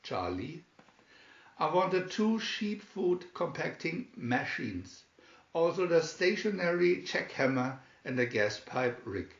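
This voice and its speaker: A man's voice: on the high side, sing-song, slow, voice pushed up, full character voice. A man voicing a scheming, blustering male tycoon villain from a storybook, with a commanding manner. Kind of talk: storybook character reading. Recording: dull, echoey room recording.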